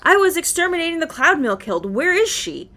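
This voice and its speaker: A woman voicing a commanding, scornful dark villain back from banishment in a video game, imperious and theatrical. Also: very high voice, big pitch swings, fairly quick, voice pushed up, full character voice. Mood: demanding.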